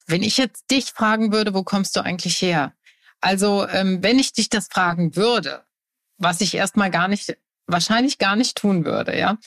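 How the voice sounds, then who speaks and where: A woman's voice: medium pitch, moderate pace, a bit bright. A woman co-hosting a talk podcast, thinking aloud hesitantly with many fillers. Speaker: podcast co-host. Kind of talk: casual conversation.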